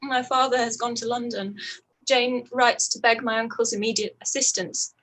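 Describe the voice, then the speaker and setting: A woman acting a distressed, proud young gentlewoman in a period radio play, with emotional, halting delivery. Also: a little high, steady tone, low-quality recording. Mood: anguished, despairing.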